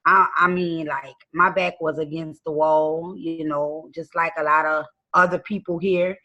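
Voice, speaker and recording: A woman calling in from bed at home, giving a lively, folksy testimonial over the phone. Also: sits low, measured pace, lo-fi sound. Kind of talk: casual testimonial. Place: in bed in a dark room at home.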